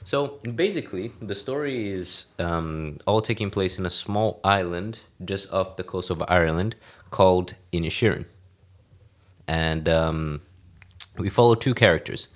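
A man's voice: sits low, unhurried. A man hosting a casual film podcast, talking enthusiastically and swearing casually. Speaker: podcast host.

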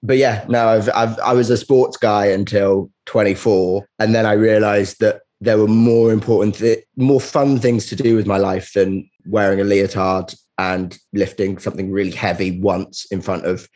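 Dry, low-voiced young male comedian. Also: steady tone, normal speed, few pauses, a little mellow. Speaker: comedian guest. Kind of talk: casual comic chat.

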